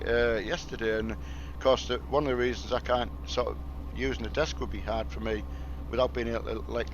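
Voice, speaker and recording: A man chatting casually in a rambling, halting way as he livestreams a driving game. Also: creaky, muffled recording. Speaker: game streamer. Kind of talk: casual livestream chat while playing a truck game. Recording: loud vehicle engine rumble behind. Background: truck game engine and road noise.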